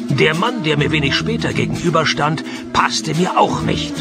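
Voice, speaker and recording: An actor playing a sardonic, hard-up private detective in a deadpan radio play, narrating and trading dry wisecracks. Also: male voice, fairly high pitch, moderate pace, gravelly, loud music behind the voice. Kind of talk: radio play dialogue and first-person narration. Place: radio drama studio.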